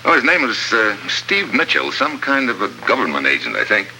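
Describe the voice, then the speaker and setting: An actor playing a laconic American government agent in 1950s radio drama, with a dry, hard-boiled delivery. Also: male voice, mid-range voice, huge pitch swings, a little fast, pushed and bright, a little hiss or hum, exaggerated voice, low-quality recording. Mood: wry, probing. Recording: vintage 1950s radio with music.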